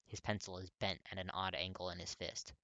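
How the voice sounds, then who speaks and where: A man narrates a story expressively. His voice sits fairly low but has a bright, crisp edge, and the recording is very dry. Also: flat delivery, fairly quick, no room sound, lo-fi sound. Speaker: audiobook-style fan fiction reader.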